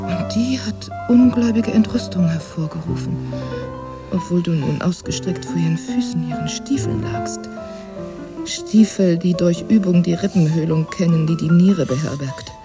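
An actress playing a battered, proud and bitter imprisoned concert pianist in a radio drama, speaking in halting, introspective fragments. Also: female voice, lowish voice, music in the background, lightly acted. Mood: anguished, bitter. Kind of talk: German radio drama acting, interior monologue.

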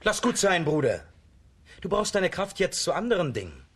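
A man playing a bold, strong hero in a children's folk-tale radio play, with a husky voice and a determined manner. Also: high-pitched, normal speed, heavily acted. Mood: calm resolve. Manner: lively, determined, taunting.

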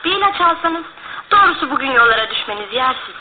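An earnest, slightly anxious woman in a radio play. Her voice is high and lively, with polite phrasing. It comes through a narrow, phone-like band with clear noise. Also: fast talker. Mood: concerned. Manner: expressive, polite. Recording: noisy, lo-fi, phone-like old radio broadcast.

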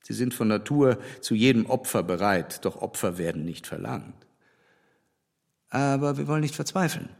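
An actor playing a frank, critical gentleman in a literary radio play, opinionated and wry in a formal way. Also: mid-range voice, lively intonation, normal speed, creaky. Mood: dryly teasing. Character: frank, critical English gentleman, dryly teasing.

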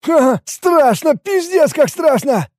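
A man's voice: very high-pitched, expressive, slow, warm tone, breathy edge, dry recording, pitched above the performer's own voice, exaggerated voice. A man voicing a panicky, cowardly, foul-mouthed young male sidekick with a frantic, whiny delivery in an audiobook. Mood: scared, agitated.

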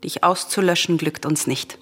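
A woman's voice: low voice, moderate pace, a bit bright. A woman playing a grieving, embittered young princess, lamenting in anguish in a classical tragedy radio play. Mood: bitter grief. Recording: long hall reverb, music behind.

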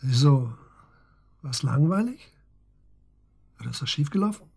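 An actor playing a wry, inquisitive middle-aged police officer questioning an old friend in a naturalistic radio drama. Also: male voice, medium pitch, animated, a little slow, vocal fry, darkish tone, slightly rough, exaggerated voice. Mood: curious. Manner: inquisitive, prodding, familiar.

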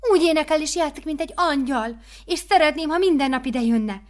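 A woman reading aloud and voicing a doting, excitable older mother superior who gushes over a new girl. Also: high-pitched, voice pushed up, lightly acted. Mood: gushing. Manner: gushing, effusive, commanding.

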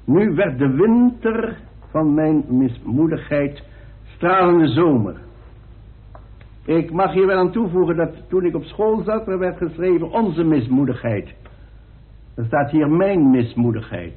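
A man playing a formal, dry-witted coroner in a radio drama, speaking in a measured, authoritative way. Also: a little high, expressive. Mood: formal, deliberate. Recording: tinny band-limited old radio recording.

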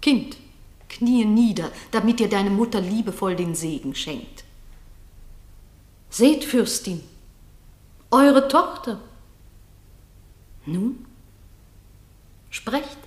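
A commanding noblewoman speaks stage verse slowly and solemnly. Her medium-pitched voice carries through a roomy space with faint music, in the manner of classic radio drama. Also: animated. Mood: urgent, reassuring. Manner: solemn, declamatory, measured. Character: commanding noblewoman bringing a stone queen to life.

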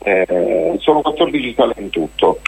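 A man speaks in a somewhat low, husky voice, heard on a radio broadcast. He rambles along as a guest, full of hesitations, fillers and restarts, and keeps circling back to his points. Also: expressive, moderate pace, darkish tone. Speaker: festival organizer, interview guest.

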